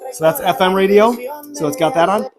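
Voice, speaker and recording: A man's voice: high-pitched, fairly quick, music in the background. A man giving a casual, loosely improvised product demonstration, repeating himself as he goes in an informal way. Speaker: product reviewer.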